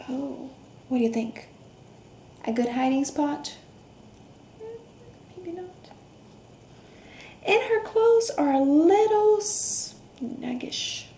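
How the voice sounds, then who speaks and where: A woman reading a picture book aloud to children, expressive, with questions, gasps, hums and hushed asides. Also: sits high, extremely slow, long pauses. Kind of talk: interactive children's read-aloud with questions to young listeners. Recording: roomy echo, faint background noise.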